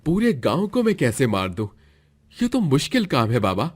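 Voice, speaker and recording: A man's voice: medium pitch, huge pitch swings, normal speed. A man voicing a cruel, greedy, scheming villain in a gloating manner for a cartoon moral story. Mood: anxious, obedient.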